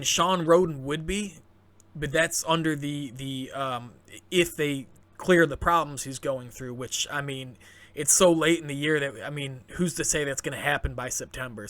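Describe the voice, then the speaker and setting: A man's voice: on the high side, fairly bright tone. A man hosting a fitness channel, giving chatty, enthusiastic commentary straight to his audience with plenty of fillers and restarts, his voice turning a little scratchy. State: voice going scratchy. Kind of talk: casual commentary to camera.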